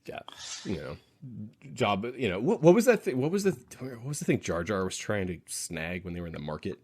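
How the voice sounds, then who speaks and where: Deep-voiced man with swooping, animated pitch. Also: brisk, breathy edge. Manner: joking, rambling banter. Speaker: podcast co-host. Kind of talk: casual chat.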